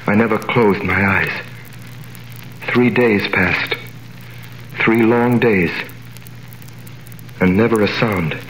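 An actor narrating and playing a young wrongly imprisoned man, in 1930s radio drama style, earnest and measured. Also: lowish voice, a bit bright, slight background noise. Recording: roomy 1930s radio recording with music.

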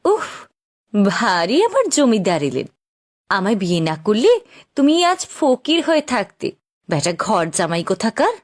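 A woman's voice: medium pitch, very animated, a little slow. A woman voicing a bossy, haughty landlord's wife in a cartoon folk tale. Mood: scornful, bossy.

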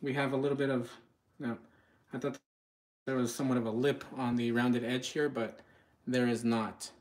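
A man's voice: mid-range voice, little pitch movement, normal speed, darkish tone. A man giving casual live product commentary in a home kitchen, speaking informally and a bit hesitantly straight to viewers. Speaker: product reviewer, channel host.

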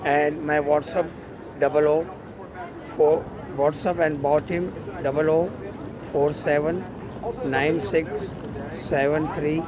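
A middle-aged man from India with an Indian accent, talking in halting, repeated short phrases for a casual outdoor vlog on a phone. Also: on the high side, steady tone, a crowd in the background. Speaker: vlogger and matchmaker. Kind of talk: casual selfie travel vlog. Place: outdoors in an open city square. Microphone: phone at arm's length. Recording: loud outdoor city square noise. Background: urban ambience and vehicles.

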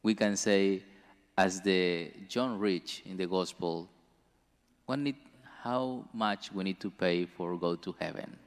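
Deep-voiced priest in a reverberant church. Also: male voice. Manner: slow, measured delivery with careful pauses. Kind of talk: gospel reading and homily. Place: church sanctuary. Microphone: stand mic at a lectern.

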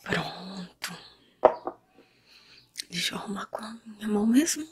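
A woman in her twenties with a Brazilian Portuguese accent, whispering softly and gently in an ASMR roleplay as a hairdresser talking to a client. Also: mid-range voice, lively intonation, unhurried, heavy background noise. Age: 20s. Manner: soft, gentle, addressing the listener as a client. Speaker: ASMR creator playing a hairdresser. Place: small room at home.

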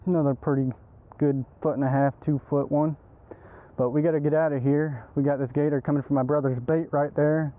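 A young American man chatting casually and excitedly in an informal, slangy way, like an angler vlogging outdoors. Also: fairly high pitch, brisk. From East Texas, United States. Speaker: angler filming a fishing video. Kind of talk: casual outdoor vlog chat. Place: outdoors at a swampy pond bank. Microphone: body-worn action camera. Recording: muffled narrow-band action-camera audio, outdoor noise.

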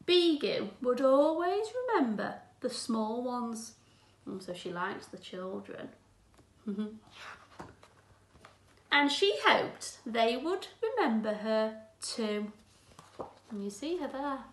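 A high-voiced woman reads a storybook to small children. Her delivery is slightly unhurried and very lively in pitch, with encouraging teacherly asides. The room gives her voice an echo. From England. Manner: expressive, addressing young children with encouraging asides and gasps. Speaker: infant school teacher. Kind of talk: children's storybook read-aloud. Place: small room at home, indoors. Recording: roomy, echoey indoor sound.

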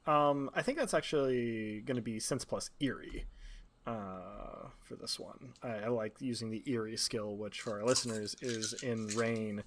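A man with a mid-pitched voice acts as game master, narrating a tabletop roleplaying game. He speaks at an ordinary pitch and describes scenes and rules to his players. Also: a little slow.

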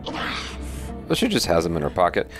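An animated man in his thirties reacts casually to a game. His pitch leaps around as he exclaims and swears. Cinematic music plays behind him. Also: a little slow. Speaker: reaction channel host. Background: game cutscene music.

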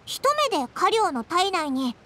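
A woman in a Japanese anime game voicing a tiny, childlike companion sprite, squeaky, blunt and inquisitive. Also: animated, a little slow, sharp tone, slight background noise, exaggerated voice. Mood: curious. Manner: blunt boyish phrasing, inquisitive. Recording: music playing behind the voice.

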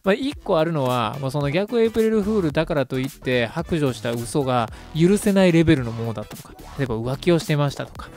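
A man's voice: a little high, expressive, fast talker, few pauses, darkish tone. A man of about thirty hosting a casual radio talk, summing up and commenting in a polite, chatty way. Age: around 30. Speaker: radio host. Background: background music.

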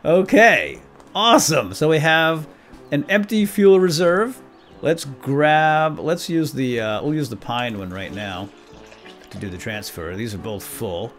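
A man's voice: on the high side, expressive, unhurried, fairly bright tone, soft background music. A man hosting a let's play, giving casual gameplay commentary with playful exclamations and asides.

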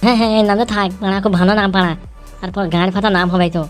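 A cheeky cartoon little boy , put on by a man, pitched high and rattling along very fast. He swears like a grown-up, with music behind. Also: steady tone, close to an everyday voice. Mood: pleased. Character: cheeky foul-mouthed newborn boy talking like an adult. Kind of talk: amateur comic cartoon dubbing.

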